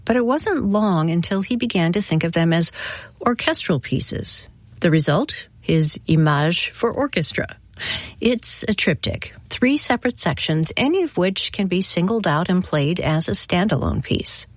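A woman hosting a classical music radio show, reading scripted introductions in a measured storytelling manner. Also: on the low side, expressive, no room sound.

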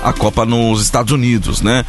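A man from Brazil hosting a morning radio show, chatty, laughing often and singing out listener shout-outs. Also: mid-range voice, fairly even intonation, brisk. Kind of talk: joking live radio banter. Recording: music bed under the voice.

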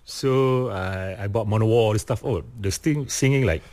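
A man speaks haltingly, pausing and restarting as he hunts for English words. He laughs often between his answers, chatting casually as a band's vocalist. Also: fairly low pitch, a little mellow. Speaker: metal band vocalist.

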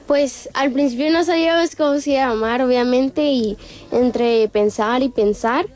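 Higher-voiced Mexican woman being interviewed. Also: normal speed, darkish tone. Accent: Mexican Spanish. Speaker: interview guest, restaurant founder. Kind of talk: casual interview answers.

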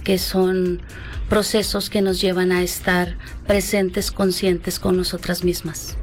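A calm, reflective woman who grew up in Guatemala answers interview questions in a steady, flat tone. She pauses often and hesitates before her thoughts, with faint music in the background. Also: on the low side. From Mexico-Guatemala border, raised in Guatemala. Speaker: guest, wellness facilitator.

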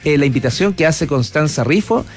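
A man with a Chilean accent hosts a radio talk segment, chatty and loose, stumbling and correcting himself as he goes. His voice sits a little high for a man. Soft music plays faintly behind him. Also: animated, fairly quick. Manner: chatty, hesitant, with fillers and self-corrections. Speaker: radio host. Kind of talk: casual radio interview.

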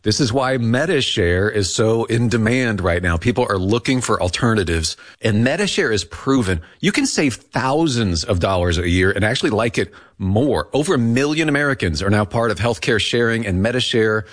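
A man with a fairly low voice reads a radio ad. His delivery is earnest and persuasive. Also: expressive, normal speed, few pauses. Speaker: ad spokesman. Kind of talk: read radio ad.

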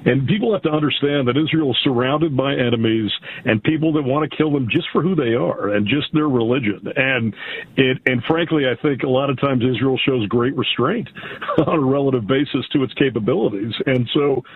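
A man with an American accent calling a radio show by phone, conversational and a little halting as he repeats words, on a tinny line. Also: mid-range voice, a little hiss or hum. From United States. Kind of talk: conversational political call-in. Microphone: telephone.

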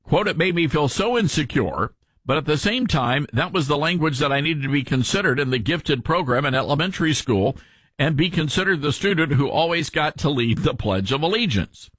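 A radio host with a mild Tennessee accent, reading news aloud and adding wry asides in casual chat. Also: male voice, on the high side, expressive, a little fast, fairly bright tone, breathy edge, dry recording. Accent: mild Southern US, Tennessee.

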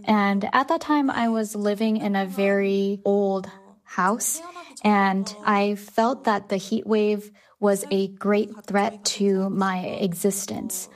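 A woman's voice: medium pitch, fairly even intonation, slow. A woman interpreting a guest's interview answers, speaking in the first person on their behalf.

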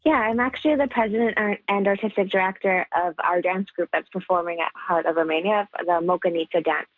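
Female interview guest, conversational, slightly low register, narrow telephone-like audio with no room echo. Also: fairly quick. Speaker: radio guest, dance group artistic director. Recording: muffled phone-like line, very dry.

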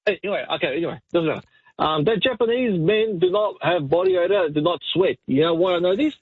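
An excitable man with a high, husky voice, calling a talk radio show and bantering insistently, often repeating himself. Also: fast, no echo. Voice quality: high-pitched voice. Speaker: talk radio phone-in caller. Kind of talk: animated banter and argument. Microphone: phone call. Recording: tinny narrow phone line.

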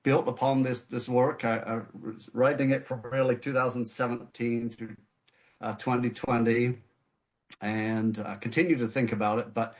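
A man from the United Kingdom, a guest author being interviewed, speaking in a measured way with many fillers and repeated words. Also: medium pitch, level tone. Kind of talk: conversational interview.

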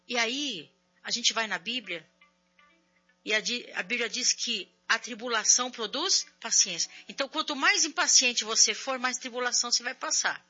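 Chatty woman radio host, bright voice. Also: fairly high pitch, highs cut off. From São Paulo, Brazil. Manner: chatty, warm preaching tone with frequent "né?". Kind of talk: religious call-in radio talk.

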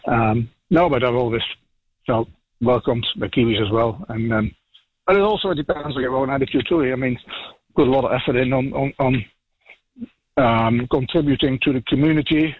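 A man's voice: medium pitch, moderate pace, breathy edge, no room sound, phone-quality sound. A middle-aged man with a Dutch accent, a farmer calling in to a radio show, talking casually with many ums and restarts. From Netherlands. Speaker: dairy farmer, caller. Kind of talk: casual radio phone chat.

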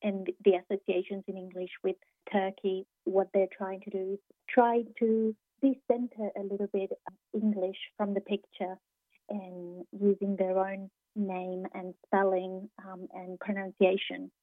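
A woman comes through a tinny, phone-like line, dry with no room sound. She speaks as a university lecturer, thoughtful and unhurried, pausing often to search for the right words, at a middling pitch. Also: level tone, no room sound. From Argentina. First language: Spanish. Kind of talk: interview answers.

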